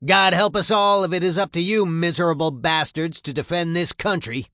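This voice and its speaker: A man performs a furious Marine drill sergeant from a wartime memoir. The voice is loud and gruff, raised well up from plain speech, barking abuse and orders with bullying contempt. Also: fairly high pitch, fairly even intonation, moderate pace, no echo, studio quality, pitched above the performer's own voice. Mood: disgusted, contemptuous. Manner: barking, berating commands.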